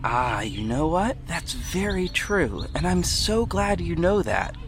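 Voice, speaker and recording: A kind, protective hero, played by a man, speaks as if to a small child. The audio drama delivery is gentle and encouraging, with music playing clearly underneath. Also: fairly high pitch, very animated, takes it slowly, pitched above the performer's own voice, full character voice. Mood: gentle, reassuring.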